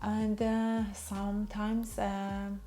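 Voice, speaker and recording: Slow, enthusiastic woman with a Persian accent. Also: medium pitch, monotone, mellow. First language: Farsi. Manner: enthusiastic, gushing, non-native phrasing. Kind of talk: casual product showcase.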